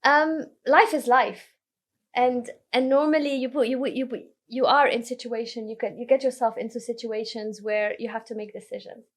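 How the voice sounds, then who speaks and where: A woman from Lebanon, a podcast guest telling her career story in a relaxed way, often restarting her sentences. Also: on the high side, moderate pace. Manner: relaxed, self-correcting storyteller. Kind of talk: casual interview conversation.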